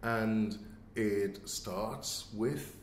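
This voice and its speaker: A man chatting casually as a podcast host, with a halting, hesitant way of talking. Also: lowish voice. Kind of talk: casual chat. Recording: echoey room, faint background noise.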